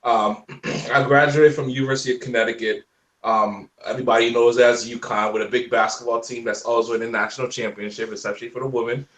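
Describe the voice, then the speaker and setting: A man in his forties from Haiti with a light Haitian accent, chatting as a guest in a relaxed, rambling way. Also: mid-range voice, fast, roomy sound, far from the mic, low-quality recording. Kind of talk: casual chat.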